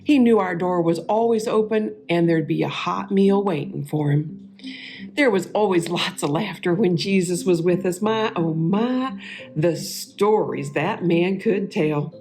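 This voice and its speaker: A woman playing a warm, homespun biblical sister in a dramatic monologue, with a Southern US accent and a folksy, wistful manner, lingering over her words. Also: lowish voice, a little slow, faint music behind. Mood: fond. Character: warm homespun Martha of Bethany, sister of Lazarus.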